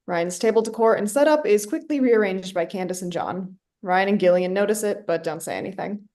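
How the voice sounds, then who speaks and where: A woman's voice: mid-range voice, a little fast, darkish tone. A woman reading stage directions as scripted narration for a play.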